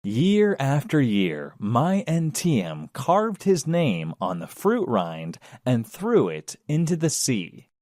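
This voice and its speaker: Slow, very expressive male storyteller. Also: medium pitch, dry recording. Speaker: storybook narrator. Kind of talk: scripted children's story narration.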